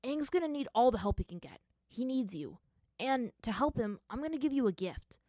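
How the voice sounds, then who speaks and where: A woman's voice: fairly high pitch, lively intonation, a little fast, warm tone, dry recording, voice pushed up. A woman voicing a stern, scarred man who gives insistent, impatient guidance in a story. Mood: solemn, urging. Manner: clear, unchallenging, insistent. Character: stern scarred older young man, firm, impatient guide.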